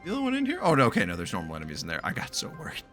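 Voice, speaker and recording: A man giving casual, excitable, sweary reaction commentary while playing a game. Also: mid-range voice, rapid-fire, soft background music.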